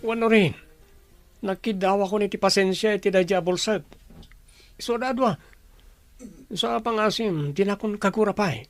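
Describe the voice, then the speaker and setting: A woman's voice: mid-range voice, normal speed, pauses often, voice pushed down, lightly acted. A woman voicing an apologetic man in an audio drama, with a subdued, conciliatory manner. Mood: contrite. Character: apologetic, contrite man making amends. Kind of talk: Ilocano audio drama acting.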